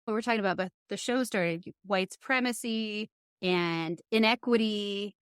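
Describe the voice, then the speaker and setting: A woman's voice: medium pitch, normal speed, clear, resonant tone, dry recording. Woman podcast host, close dry sound. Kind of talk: casual interview chat.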